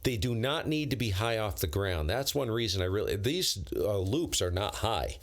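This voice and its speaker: A man's voice: on the low side, animated, moderate pace. An American man chatting casually on a ham radio live stream, in a rambling, blunt and slangy way. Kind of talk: casual unscripted chat with viewers, on-air call signs.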